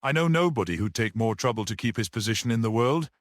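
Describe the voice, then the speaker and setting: Guy doing a confident worldly chap in a storybook, chatty and animated, dry close sound. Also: mid-range voice, fast talker, no echo, voice pushed up, lightly acted. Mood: assured. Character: confident, worldly man discussing a murder trial. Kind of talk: audiobook character reading.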